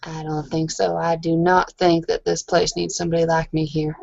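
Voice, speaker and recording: A woman acting a shy, easily embarrassed woman in a tabletop game. She speaks haltingly and hesitantly, in a dry, slightly dull lo-fi recording. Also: low-pitched, little pitch movement, vocal fry, a little mellow, dry recording, pitched below the performer's own voice. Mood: sad, hesitant. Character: shy, embarrassed woman saying goodbye to friends.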